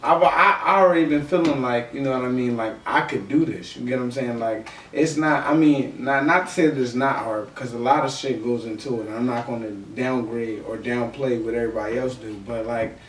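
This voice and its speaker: A man's voice: medium pitch, fairly quick, hardly any pauses. A man talking casually on a podcast, slangy, often checking that the listener follows him. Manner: slangy, repeats "you know what I mean". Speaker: podcast co-host and guest, music artist. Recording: roomy, distant mic, background noise.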